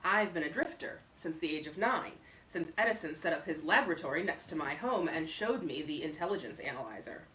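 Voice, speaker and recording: A woman voicing an aloof male stranger in a storybook, speaking evenly and crisply with a dry deadpan. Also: sits low, brisk, few pauses, a little hiss or hum. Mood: curt, dry. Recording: roomy echo, distant microphone.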